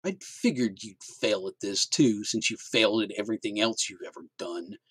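A man voicing a harsh, bullying father, low and loud. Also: normal speed, husky, no echo. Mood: contemptuous. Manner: harsh, contemptuous, commanding. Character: domineering bullying middle-aged father.

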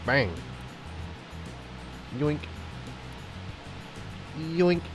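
A young man with a clogged, stuffed-up voice talks through a game he's playing. He's goofy and loose, cracks jokes and breaks into little sung riffs. Faint game music plays softly behind him. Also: fairly high pitch, very slow. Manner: goofy, jokey, sings and riffs to himself. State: stuffed up from a cold. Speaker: gaming YouTuber. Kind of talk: playful let's-play commentary.

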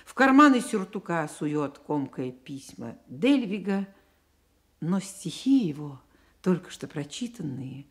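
A woman narrating a radio drama about a poet's life as an expressive literary storyteller. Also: low-pitched, measured pace, exaggerated voice. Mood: expressive storytelling.

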